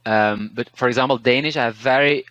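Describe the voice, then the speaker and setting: A man from Belgium with a Belgian Dutch accent whose first language is Dutch, a language teacher talking through learning tips in a relaxed, thoughtful way, pausing and restarting words. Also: medium pitch, no room sound, muffled recording. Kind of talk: interview, casual advice.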